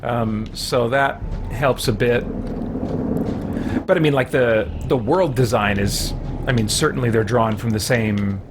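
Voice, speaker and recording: A middle-aged man commentates casually on a live game stream. He rambles and thinks aloud at an ordinary pitch. There is faint noise behind his voice. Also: measured pace. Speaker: livestream host playing a video game. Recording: noticeable background noise.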